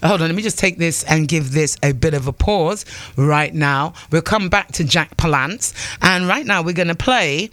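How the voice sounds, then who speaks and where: Male morning radio host; high, bright, upbeat and sing-song, with faint music underneath. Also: moderate pace, few pauses, no room sound. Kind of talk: radio show hosting.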